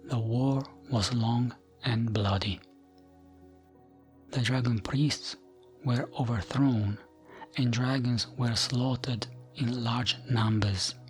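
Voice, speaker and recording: Man, unhurried ASMR lore narrator, soothing hushed delivery, mid-range pitch, music underneath. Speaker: narrator of gaming lore videos. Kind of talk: ASMR reading of fantasy lore.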